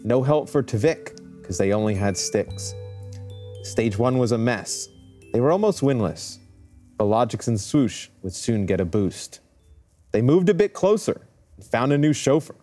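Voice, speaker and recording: A man's voice: medium pitch, big pitch swings, measured pace, a little mellow, faint music behind. A man on a casual esports panel, talking as an analyst and host with playful storytelling.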